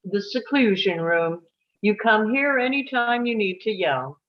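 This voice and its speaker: A woman playing a calm, matter-of-fact psychiatric nurse giving a tour in a screenplay table read, speaking in a measured way. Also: mid-range voice, lo-fi sound, natural voice.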